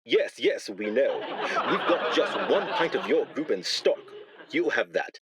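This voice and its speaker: A man acts a brisk hospital doctor in a radio comedy, reassuring and matter-of-fact. The delivery is lively, with loud noise and clicks behind it. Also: medium pitch.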